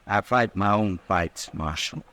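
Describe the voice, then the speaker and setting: Low-voiced, stubborn lovestruck cowhand. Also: male voice, bright, forceful tone. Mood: brooding threat. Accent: rural American Western. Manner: rustic, halting, obstinate. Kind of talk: 1950s radio drama acting.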